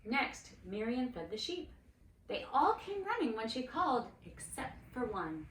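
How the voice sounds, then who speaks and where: A woman reading a children's story aloud expressively, with dramatic, deliberate phrasing. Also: on the high side, sing-song. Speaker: storybook reader, cantor. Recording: roomy, distant mic with faint noise.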